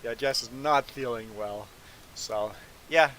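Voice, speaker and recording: A man's voice: medium pitch, takes it slowly, low-quality recording. Sniffly man chatting casually over hiss. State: sniffly nose from congestion. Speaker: live streamer. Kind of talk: casual live stream chat. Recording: steady background hiss.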